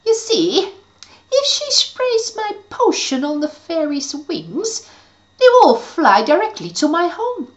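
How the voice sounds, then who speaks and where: A woman's voice: very high-pitched, very animated, takes it slowly, highs cut off, voice pushed up, heavily acted. A woman voicing a scheming, mean female witch who gloats and cackles. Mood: gloating. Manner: cackling, sly and exaggerated.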